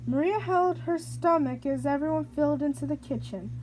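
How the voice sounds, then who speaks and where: A woman reading a children's picture book aloud in a halting way, stumbling and rereading phrases. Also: high voice, darkish tone, slight background noise, poor audio. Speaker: storybook reader.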